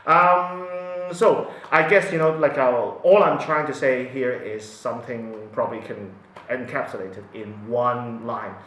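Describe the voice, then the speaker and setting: Man, 40s, Hong Kong-born, native Cantonese speaker, halting unscripted talk, distant echoey mic. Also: medium pitch, a bit bright, a little hiss or hum. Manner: hesitant, many restarts and fillers. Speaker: parent sharing his experience. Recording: echoey room, mic set back.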